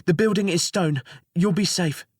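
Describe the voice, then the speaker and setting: A man's voice: high-pitched, moderate pace, no echo, clean studio sound, pitched above the performer's own voice. A man voicing a panicked female jailer who pleads and weeps. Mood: urgent. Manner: pleading, panting, frantic.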